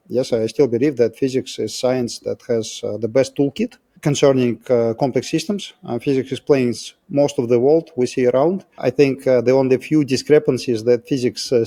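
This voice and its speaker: Mellow middle-aged man with Russian accent. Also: mid-range voice. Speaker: interview guest, physicist turned biotech scientist. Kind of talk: explanatory interview talk.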